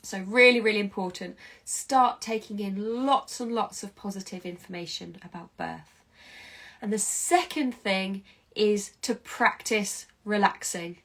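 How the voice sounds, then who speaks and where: A woman's voice: medium pitch, measured pace, fairly bright tone. Nasal-sounding woman with a cold. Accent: British English. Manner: chatty, encouraging, with many ums. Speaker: hypnobirthing educator. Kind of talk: informal online teaching session.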